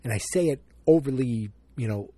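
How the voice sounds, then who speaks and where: Man, Boston accent, husky mellow voice, rambling podcast talk, dry close mic. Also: medium pitch, lively intonation, normal speed, dry recording. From Massachusetts. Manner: rambling and self-interrupting, with frequent fillers. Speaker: podcast host. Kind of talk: solo podcast commentary.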